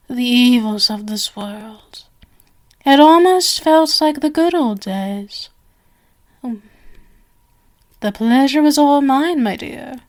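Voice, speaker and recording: A woman's voice: on the high side, darkish tone. A woman playing a genial but sinister stage magician in an ASMR roleplay, with flowery, theatrical, coaxing speech. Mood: doting, flattering.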